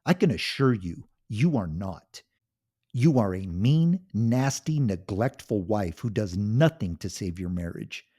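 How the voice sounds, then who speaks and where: A wounded husband at the end of his rope yells and pleads in a raised, indignant voice, as a man acts him out. The voice is husky and mellow beneath the strain. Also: lowish voice, lively intonation, unhurried. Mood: angry shouting. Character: desperate, hurt husband finally fighting back.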